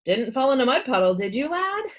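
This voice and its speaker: A woman voicing a small, round old male doctor who is excitable, blustery and absent-minded in a children's storybook. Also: high voice, brisk, voice pushed up. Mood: excitable, curious.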